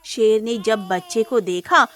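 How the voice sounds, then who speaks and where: A woman's voice: on the high side, unhurried, a bit bright, soft background music. A woman narrating a scripted moral story as a storyteller.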